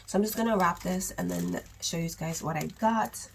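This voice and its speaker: A young woman with a sore throat and cough, chatting casually in a raspy voice through a makeup haul vlog. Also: lowish voice, normal speed, a little hiss or hum, rough recording. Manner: chatty, rambling, with many ums and likes. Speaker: beauty vlogger.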